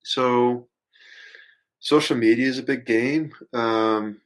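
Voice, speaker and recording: A man chatting casually as a musician guest on a podcast, thinking out loud in a rambling, hesitant, reflective way and swearing casually. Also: medium pitch, fairly even intonation, takes it slowly.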